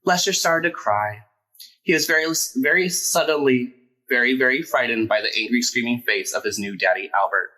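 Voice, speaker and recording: A man reading a children's picture book aloud as a storyteller, expressive and emphatic. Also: mid-range voice, moderate pace, rough recording.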